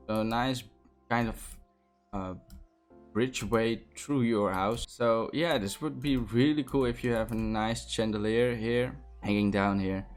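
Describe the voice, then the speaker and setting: A man's voice: lowish voice, fairly even intonation, measured pace, faint music behind. A young man from the Netherlands with a Dutch accent, talking through a Minecraft build in a casual, enthusiastic way, with many fillers and restarts. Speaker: Minecraft building tutorial host. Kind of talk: casual gameplay commentary.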